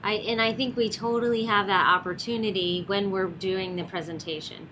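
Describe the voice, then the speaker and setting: A woman's voice: mid-range voice, steady tone, normal speed, pushed and bright, slight background noise, rough recording. A woman hosting an interview, agreeable and encouraging, who offers brief affirmations and thinks aloud as she shapes her follow-up questions. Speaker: interviewer, host. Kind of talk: conversational interview.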